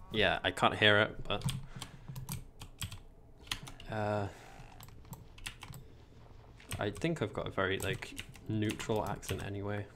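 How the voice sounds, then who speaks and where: Young English male streamer, low gravelly voice, chatty, faint background hiss and keyboard clicks. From England. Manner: chatty, rambling, reads chat aloud. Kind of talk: casual livestream chat while gaming.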